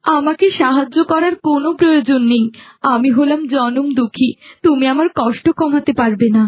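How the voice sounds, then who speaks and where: Cartoon casting: actress as long-suffering young wife, high, plaintive, lamenting voice. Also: female voice, moderate pace, close to an everyday voice, poor audio. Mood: sorrowful. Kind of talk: Bengali cartoon voice acting.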